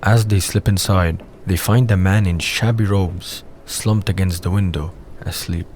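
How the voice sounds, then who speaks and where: Deep-voiced male audio drama narrator. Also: steady tone, unhurried, darkish tone, a little hiss or hum.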